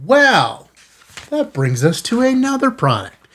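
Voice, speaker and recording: A man's voice: very high-pitched, wildly swooping pitch, slow pace, a bit bright. A man hosting a casual unboxing video, talking to viewers in an enthusiastic, folksy way with self-kidding asides. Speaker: host of a ham radio channel. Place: studio.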